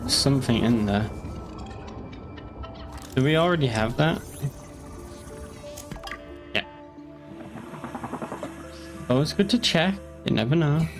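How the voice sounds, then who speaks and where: A man's voice: medium pitch, lively intonation, slow, long pauses, over music. A young man chatting casually while streaming a game, rambling and joking with the odd curse. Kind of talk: casual gaming commentary.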